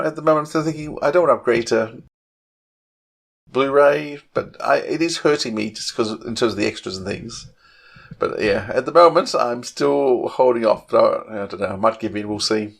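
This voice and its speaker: A man's voice: medium pitch, moderate pace, vocal fry. A middle-aged man co-hosting a podcast in casual fan chat, opinionated and often hesitating as he thinks aloud. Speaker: podcast co-host.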